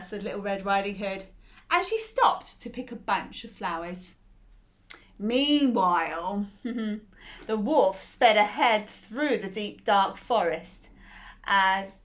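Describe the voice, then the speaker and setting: A woman hosting a bedtime story show for children, reading aloud in a playful, animated way and laughing often. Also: mid-range voice. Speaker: storyteller host.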